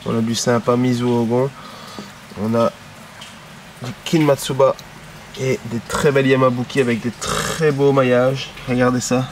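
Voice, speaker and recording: A man's voice: mid-range voice, level tone, normal speed. A man giving casual vlog commentary as a co-presenter showing what he has picked. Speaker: co-presenter and koi buyer. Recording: clear background noise, car sounds.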